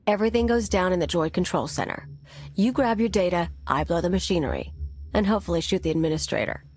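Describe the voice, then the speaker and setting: A woman's voice: fairly low pitch, expressive, fast talker, darkish tone. An actress playing a brash, cynical female anarchist bomber in a video game cutscene, talking in a clipped, tough, sardonic way. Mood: cocky, brisk.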